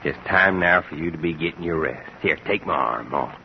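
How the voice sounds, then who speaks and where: An actor playing a dutiful, guarded backwoods son with a rural American accent and folksy drawl in a vintage radio drama. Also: male voice, very deep voice, a little fast, vocal fry. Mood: gentle, doubtful. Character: heavy-set, dutiful backwoods son, plain-spoken and guarded. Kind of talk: 1950s radio drama acting. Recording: muffled, hissy vintage radio recording.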